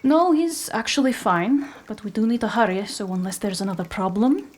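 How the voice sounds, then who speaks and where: A woman's voice: medium pitch, expressive, moderate pace, darkish tone, close to an everyday voice. A woman playing a haughty, imperious operative who poses as a scientist in an audio drama. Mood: brisk, haughty. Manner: haughty, indignant.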